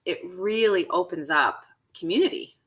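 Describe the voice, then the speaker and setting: A woman's voice: on the low side, moderate pace. A woman with a Chicago accent, a chef and coach, chatting enthusiastically as a guest on a radio show. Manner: enthusiastic, animated. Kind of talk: casual interview chat.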